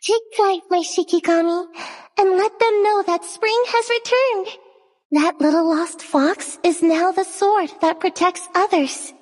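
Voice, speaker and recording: Very high-pitched girlish shrine guardian spirit. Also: measured pace. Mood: solemn, resolute. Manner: earnest, poised, lyrical declarations. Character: gentle young female shrine guardian spirit, solemn and devoted. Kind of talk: video game character voice lines. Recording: long reverb on studio voice lines.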